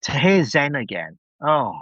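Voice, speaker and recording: Male podcast guest, middle-aged, effusive and self-deprecating, animated higher-pitched voice, dry close sound. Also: a little slow, no echo, muffled recording. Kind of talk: casual enthusiastic review chat.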